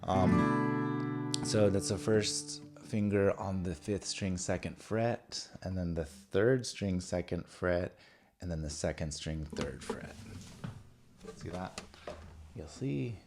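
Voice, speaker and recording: Low-voiced, unhurried man teaching guitar. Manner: informal, halting, self-correcting, thinking aloud. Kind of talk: casual instructional guitar lesson with counting. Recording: guitar playing loudly alongside the voice. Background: acoustic or electric guitar being played.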